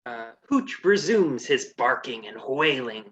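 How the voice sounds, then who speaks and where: A man's voice: medium pitch, big pitch swings, unhurried, fairly bright tone. A man narrating a comedy story in a dry way, with hesitant asides.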